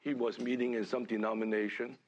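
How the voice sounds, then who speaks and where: An older man from Hong Kong giving a halting sermon to a church gathering, full of fillers and restarts. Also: medium pitch, little pitch movement. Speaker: church speaker.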